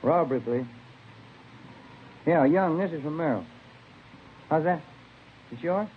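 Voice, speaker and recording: A man's voice: a little slow, slight background noise, lightly acted. Man playing an easygoing American cop, tinny old radio. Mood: casual. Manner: low-key, conversational. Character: easygoing, polite male police detective sidekick. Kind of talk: 1950s radio drama acting. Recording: tinny vintage radio recording with music.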